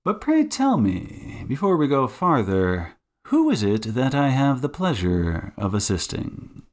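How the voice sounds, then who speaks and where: A man playing a shrewd, commanding detective in an audiobook, suave and mocking, with deliberate, condescendingly polite delivery. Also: mid-range voice, very animated, a little mellow. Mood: courteous, probing. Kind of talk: audiobook character acting.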